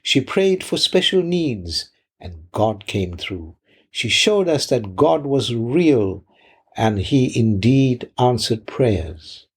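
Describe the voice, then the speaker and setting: A man who is a pastor delivering a sermon in deliberate, measured phrases, often posing rhetorical questions. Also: medium pitch, big pitch swings, breathy edge.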